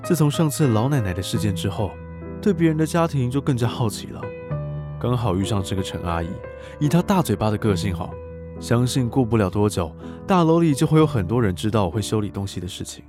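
A man's voice: lowish voice, lively intonation, fairly quick, over music, lightly acted. A young actor playing a polite student neighbor who secretly spies on others, mild to people and scheming in his inner monologue. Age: young adult. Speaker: narrator and handyman neighbor. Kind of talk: radio drama acting.

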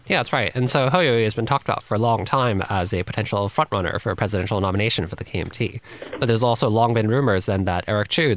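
A man's voice: fairly low pitch, a bit bright, dry recording. A man giving political analysis on a news panel, hedging often with "I think" as he lays out his points.

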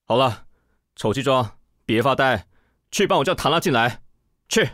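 Man playing a curt, imperious boss, mid-pitched. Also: normal speed, no room sound, natural voice. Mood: cold, curt. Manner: terse, cold, deadpan. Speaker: voice actor in an audio drama. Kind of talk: audio drama dialogue.

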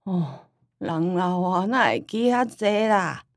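A woman playing a forgetful, homely old grandmother in a radio drama, rambling and sighing with a Taiwanese accent and drawn-out sentence endings. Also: fairly low pitch, animated, dry recording, studio quality. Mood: wistful, rambling.

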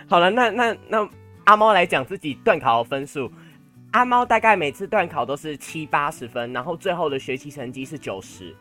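A man's voice: a little high, lively intonation, moderate pace, pushed and bright, faint music behind. Young Taiwanese man telling stories playfully. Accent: Taiwanese Mandarin. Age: teens to young adult, high school senior. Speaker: student podcast host. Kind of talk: casual storytelling chat.

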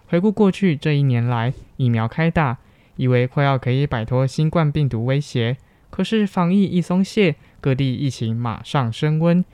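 A man's voice: mid-range voice, animated, normal speed, warm tone, clear, resonant tone, no room sound. Young man, Taiwanese Mandarin accent, scripted news delivery, mid-range voice, dry recording. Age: young adult. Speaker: student campus news anchor.

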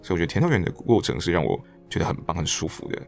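A man's voice: sits low, big pitch swings, rapid-fire, vocal fry, faint music behind. A man from Taiwan with a Taiwanese Mandarin accent, a podcast guest telling stories in a casual, reflective way. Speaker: interview guest, stage director and actor.

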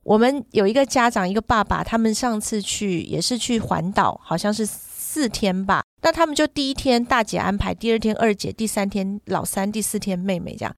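A woman's voice: medium pitch, a little fast, few pauses, no room sound, clean studio sound. A middle-aged woman in casual podcast conversation, explaining things at length with examples. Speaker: podcast guest and parent who runs children's summer camps.

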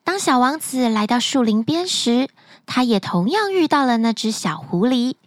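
A woman narrating a children's bedtime fairy tale in an expressive, sing-song storytelling manner, recorded close to the mic. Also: fairly high pitch, normal speed, no echo.

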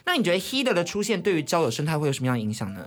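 A man's voice: a little high, huge pitch swings, rapid-fire. A man with a Taiwanese Mandarin accent hosting a casual chat, asking questions in a playful, teasing way. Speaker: podcast host.

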